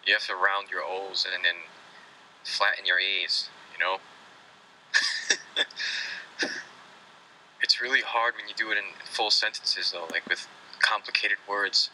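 Bright-voiced laughing man. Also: deep voice, measured pace, pauses often, a little hiss or hum, low-quality recording. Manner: laughing, animated storytelling. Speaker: podcast co-host. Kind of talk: casual chat.

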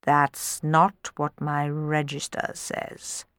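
A woman voicing a calm, kindly and authoritative male royal adviser in a storybook, speaking in a deliberate way. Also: mid-range voice, clean tone, no echo, pitched above the performer's own voice. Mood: firm challenge.

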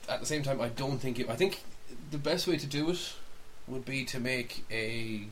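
A man's voice: medium pitch, normal speed, fairly bright tone, a little hiss or hum. A man co-hosting a podcast, chatting casually and giving opinions in a rambling way that often doubles back on itself. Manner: rambling, backtracking, mildly sweary. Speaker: podcast co-host. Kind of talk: casual opinionated chat about films and games.

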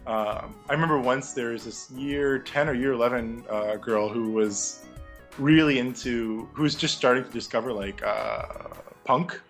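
A young man, once a music teacher, answers interview questions in a relaxed, reflective way, often pausing with fillers and restarting his sentences. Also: mid-range voice. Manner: reflective, many fillers and restarts, laughs lightly. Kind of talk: casual conversational interview.

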